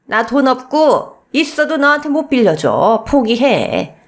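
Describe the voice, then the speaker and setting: A woman's voice: high voice, sing-song, unhurried, pitched above the performer's own voice. Woman acting a haughty, scornful old mother-in-law. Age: older. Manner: haughty scolding with rhetorical questions. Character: cold, scornful elderly mother-in-law, rich and stingy.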